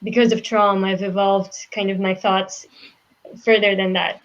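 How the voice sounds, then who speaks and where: A woman from Russia co-hosting a casual group discussion, talking quickly with frequent restarts and laughter. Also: medium pitch, little pitch movement. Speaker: podcast co-host. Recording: dull lo-fi sound.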